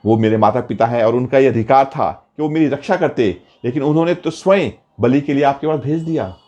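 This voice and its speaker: A man voicing a devout, calm young boy in a story, speaking respectfully and solemnly. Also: medium pitch, fairly quick, muffled recording, voice pushed up, close to an everyday voice. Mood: solemn, reproachful.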